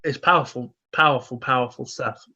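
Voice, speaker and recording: Bright-voiced man, slightly dull podcast recording. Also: mid-range voice, fairly quick, faint music behind. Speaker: comedy podcast co-host. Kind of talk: casual comedic opinion chat.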